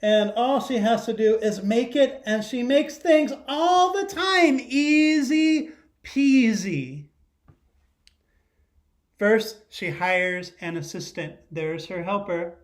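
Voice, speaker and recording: A man's voice: sits high, slow. A middle-aged man giving a children's lesson and read-aloud, speaking in simple phrases and often addressing questions to young viewers. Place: living room at home, indoors.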